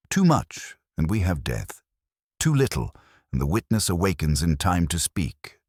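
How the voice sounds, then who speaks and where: A man playing a shrewd, courteous Belgian detective in an audiobook, speaking in formal, measured, theatrical deductions. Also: low-pitched, no room sound, clean studio sound. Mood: pointed, accusing.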